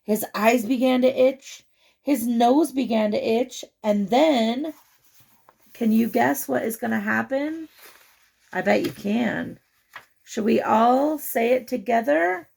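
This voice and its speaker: A woman reading a picture book aloud to children, with chatty, expressive asides and questions to the young listener. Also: fairly high pitch, slow, creaky.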